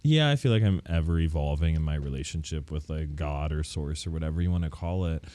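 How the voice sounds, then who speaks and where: A man's voice: extremely low pitch, brisk, warm tone, no echo. A man chatting casually and candidly, with campy joking slang and a self-deprecating streak. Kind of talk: casual podcast chat.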